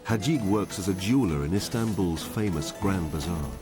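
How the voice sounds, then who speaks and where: A man narrating a documentary in a measured, even scripted delivery. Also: sits low, music in the background.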